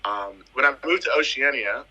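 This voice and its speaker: A man's voice: medium pitch, animated, moderate pace, sharp tone, dull audio. A man in his thirties from the US Virgin Islands, a footballer telling his career story in a casual interview, emphatic and fond of repeating phrases. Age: 30s. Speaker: footballer guest.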